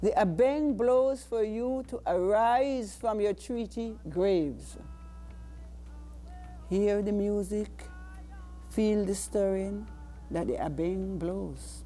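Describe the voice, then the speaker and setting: A woman with a Jamaican accent reciting spoken-word poetry in a deliberate, dramatic way. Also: medium pitch, warm tone, a little hiss or hum. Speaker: poet.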